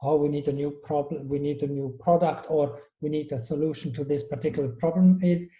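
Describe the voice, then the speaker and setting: A man speaks as an interview guest with a very dark, mellow tone. He thinks aloud and often restarts his sentences. The room around him gives a noticeable echo. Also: fairly high pitch, steady tone, a little fast, dull audio. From Switzerland. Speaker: guest, innovation strategist. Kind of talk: conversational interview.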